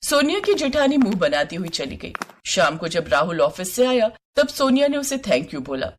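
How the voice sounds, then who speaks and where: A woman's voice: medium pitch, very animated, normal speed, slight background noise. A woman working as a narrator, reading scripted family stories in storytelling style.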